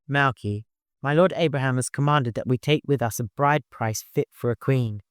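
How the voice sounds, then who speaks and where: A man's voice: mid-range voice, moderate pace, smooth, dry recording, clean studio sound, lightly acted. A man voicing a dutiful, careful steward in an audiobook, frank and formal in manner. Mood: businesslike. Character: dutiful, careful male steward leading a mission.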